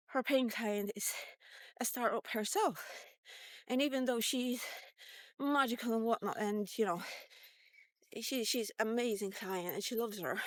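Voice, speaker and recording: A woman in her fifties telling a personal story in a casual, rambling vlog style, halting and informal as she thinks aloud. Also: on the high side, no echo. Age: 50s. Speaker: vlogger. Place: walk-and-talk recording.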